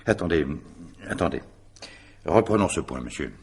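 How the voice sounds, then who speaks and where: A man playing a grave, courteous, methodical police commissioner with a husky voice in a French radio mystery drama. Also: deep voice, expressive, moderate pace, darkish tone, faint music behind, exaggerated voice. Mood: grave, considerate.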